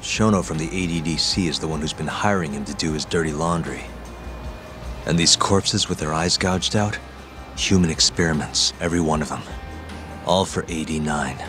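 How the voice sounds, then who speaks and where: A world-weary detective in a video game, with a deep, low voice and a dry, sardonic edge. Music runs underneath his lines. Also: normal speed. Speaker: detective, main character. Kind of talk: video game dub acting.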